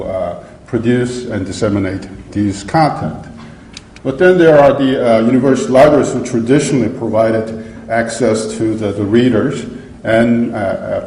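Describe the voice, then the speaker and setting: A man presenting at an academic seminar in English, pausing often with fillers and restarting his phrases. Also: on the low side, dark tone. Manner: halting, many uh fillers and restarts. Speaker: academic conference speaker. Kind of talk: conference presentation. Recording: echoey lecture hall.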